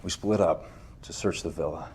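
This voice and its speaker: An actor playing a defiant, defensive Marine sergeant under interrogation in a game cutscene. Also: male voice, deep voice, moderate pace, a little mellow, a little hiss or hum, lightly acted. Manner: tense, defensive, rising to outbursts.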